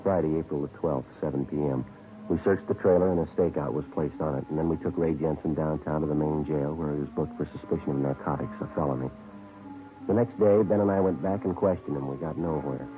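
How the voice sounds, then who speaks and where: An actor playing a terse police detective in a 1950s American radio crime drama, narrating in a deadpan, matter-of-fact, clipped way. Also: male voice, very deep voice, brisk. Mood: dry, firm. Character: terse, businesslike male police detective narrating his case. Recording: tinny vintage radio recording with music.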